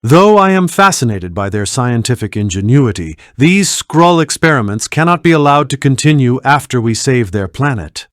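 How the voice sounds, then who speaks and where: A man's voice: mid-range voice, very animated, normal speed, hardly any pauses, dry recording, studio quality, lightly acted. Man as serious, articulate superhero scientist. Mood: grave, concerned. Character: earnest, intellectual male superhero scientist and team leader. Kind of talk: video game hero voice acting.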